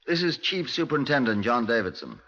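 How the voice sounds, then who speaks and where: An actor playing a sober, dry British police inspector in a 1950s radio drama, clipped and matter-of-fact. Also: male voice, mid-range voice, big pitch swings, a little fast, heavy background noise, close to an everyday voice. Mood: grave. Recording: dull old radio recording.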